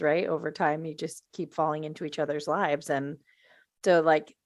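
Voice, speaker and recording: A woman's voice: low-pitched, no room sound, studio quality. A woman chatting casually as a panelist on a discussion podcast. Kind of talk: casual chat.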